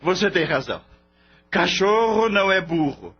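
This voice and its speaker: Brief: male actor as cynical Brazilian vet boss for radio play; highish voice, swooping delivery, scornful and theatrical, muffled recording. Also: expressive, moderate pace, husky, faint music behind. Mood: mocking, amused. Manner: theatrical, derisive, scoffing. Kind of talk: Brazilian radio drama acting.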